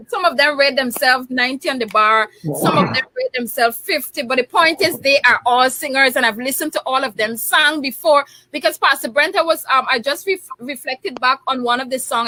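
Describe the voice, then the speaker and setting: A woman's voice: sits high, pushed and bright, poor audio. A woman hosting a talk show, chatty and laughing often. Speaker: host.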